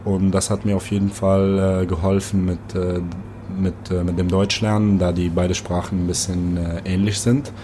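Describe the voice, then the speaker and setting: Give me a young man from Syria, very low flat voice, pausing a lot, with background noise. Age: 20s. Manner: halting, many filler pauses. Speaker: guest swimmer. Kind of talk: interview answers.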